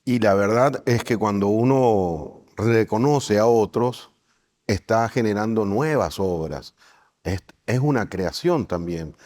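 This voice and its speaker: A man's voice: on the low side, slow, darkish tone. A man hosting a podcast interview in a conversational style, rephrasing as he forms his questions.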